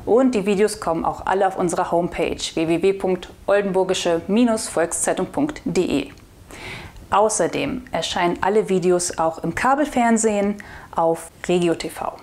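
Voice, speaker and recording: A woman's voice: lowish voice, moderate pace. Chatty young woman hosting local news. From northwestern Germany. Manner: chatty and relaxed, reading short news items. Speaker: video host of a regional newspaper. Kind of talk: casual weekly news roundup. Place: studio in front of a blue backdrop. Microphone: lapel mic.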